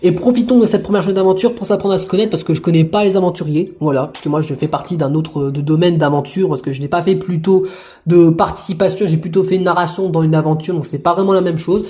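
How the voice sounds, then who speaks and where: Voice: medium pitch, quick pace, mellow, close to an everyday voice. A performer voicing a young male contestant in his early twenties, talking in a rambling way and sounding relieved. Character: young man, 21, streamer and narrator. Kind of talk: homemade toy-figure adventure show.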